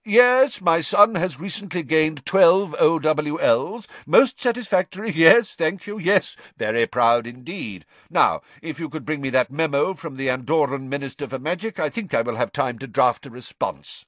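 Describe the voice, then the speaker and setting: A man's voice: fairly high pitch, expressive, moderate pace, hardly any pauses, fairly bright tone, no echo, pitched above the performer's own voice. A man reading aloud and voicing a deranged, exhausted older male official who gasps out broken fragments of speech. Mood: deluded.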